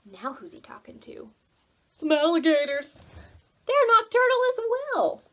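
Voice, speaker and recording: A woman reading a children's storybook aloud for preschoolers, dramatic and expressive, involving the young listeners. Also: extremely high pitch, unhurried. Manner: animated, dramatic, asks listeners questions. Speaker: children's library storytime reader.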